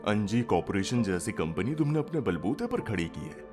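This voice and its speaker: An arrogant middle-aged uncle in an audio drama. His low voice swings widely as he talks with pompous sarcasm. He comes across as a schemer from a wealthy family. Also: sing-song, moderate pace, over music. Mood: needling. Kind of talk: Hindi audio drama acting.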